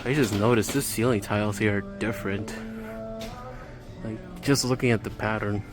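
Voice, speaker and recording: A man's voice: lowish voice, normal speed. Casual man narrating a tour, faint music behind. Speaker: vlogger giving a store tour. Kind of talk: casual, unscripted video tour commentary. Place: inside retail stores, later outside. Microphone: handheld camera. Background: faint store music.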